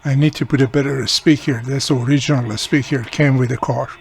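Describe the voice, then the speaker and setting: A man's voice: mid-range voice, fairly even intonation, fairly quick, gravelly, crowd noise. A man giving a casual hands-on repair demonstration, explaining each step plainly as he works. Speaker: radio repairer and video host.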